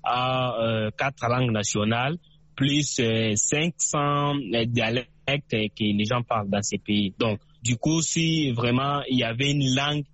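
A man from the DR Congo, a Tshiluba speaker, calling in to a radio show and giving his view slowly, with many hesitations and repeats. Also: mid-range voice, a bit bright, dry recording. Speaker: caller. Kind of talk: radio call-in opinion. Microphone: phone line. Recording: dull dry phone call on radio.

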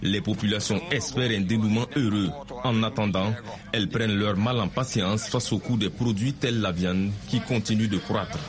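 Low-voiced male reporter, crowd behind. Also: steady tone. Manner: measured reporter narration. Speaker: field reporter. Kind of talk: news report narration.

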